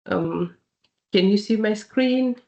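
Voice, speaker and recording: A woman's voice: mid-range voice, slow, a little mellow. A woman from Botswana with a cold, giving a keynote talk on a video call, hesitant and full of ums. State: has a cold. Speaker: keynote speaker. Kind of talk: online webinar presentation.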